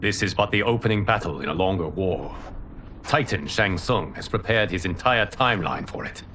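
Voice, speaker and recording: A man's voice: sits low, expressive, moderate pace, fairly bright tone, slight background noise. A man playing a grave, cautious war strategist in a video game.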